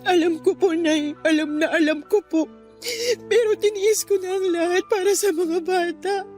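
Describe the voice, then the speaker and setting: High-pitched woman, distraught wife, stubborn and pleading, radio drama, faint music. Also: fairly even intonation, moderate pace, a little mellow. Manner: emotional, pleading, defiant. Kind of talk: Tagalog radio drama acting.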